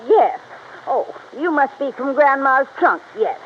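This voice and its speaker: An actress playing a folksy, chatty, flustered older widow with a rural American accent in an old-time radio drama. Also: female voice, high-pitched, sing-song, unhurried. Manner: folksy, rambling, exclaiming. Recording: dull, hissy vintage radio recording.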